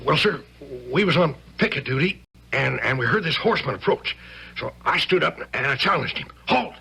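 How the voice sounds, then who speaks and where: A very hoarse, gravelly colonial sergeant in a radio play. He is frightened and respectful, with stammering, folksy, ungrammatical speech and a bright, strained edge. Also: a little high, animated, moderate pace, full character voice. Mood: shaken, earnest. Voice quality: raspy, rough voice. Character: frightened, stammering rustic sergeant of the American Revolution. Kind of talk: vintage-style radio drama acting.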